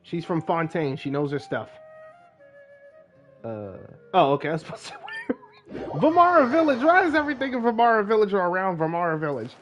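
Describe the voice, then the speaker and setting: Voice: mid-range voice, very animated, a little fast, dull audio, faint music behind. A game streamer commenting casually and playfully while playing. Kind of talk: gameplay streaming, casual reading aloud and commentary.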